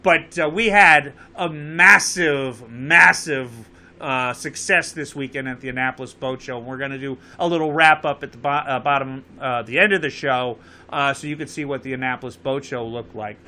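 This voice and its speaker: A man's voice: medium pitch, normal speed, bright, forceful tone. A man with a Connecticut accent hosting a live call-in show, urging viewers to phone in and repeating the number. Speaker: show host.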